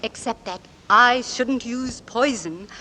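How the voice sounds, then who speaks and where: A woman's voice: a little high, slow pace, pushed and bright, exaggerated voice, rough recording. An actress playing a chatty, tactless middle-aged mother who prattles on in a 1940s radio drama. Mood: gushing. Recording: old radio recording.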